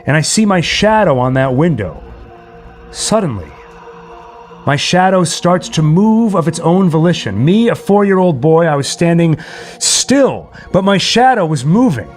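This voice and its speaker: Guy hosting a stream, kinda high lively voice, dramatic casual storytelling. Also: unhurried, soft background music. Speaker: livestream host. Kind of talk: casual storytelling and chat to livestream viewers.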